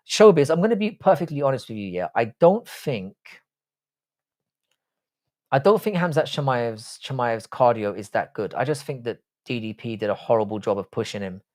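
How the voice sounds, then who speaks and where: A man's voice: medium pitch, darkish tone. A man in his forties with a South London accent, hosting a casual live stream, rambling, swearing freely and answering viewers' chat. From South London, England. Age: 40s.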